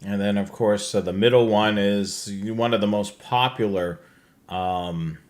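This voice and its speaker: A man's voice: lowish voice, steady tone, unhurried. A man hosting a live show, presenting products in a casual, conversational walkthrough. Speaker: show host presenting slides.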